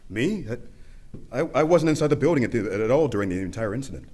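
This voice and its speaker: A man's voice: mid-range voice, expressive, quick pace, darkish tone, natural voice. A man playing a flustered, bashful police commissioner who stammers, in a comedy radio drama.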